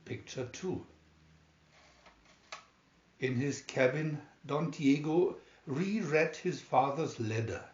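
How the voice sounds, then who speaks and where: A man narrates a scripted story at a very slow, deliberate pace. He halts between phrases and stumbles slightly now and then. The recording is roomy and a little dull. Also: a little high. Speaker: amateur audiobook reader. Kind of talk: scripted story narration, read aloud slowly.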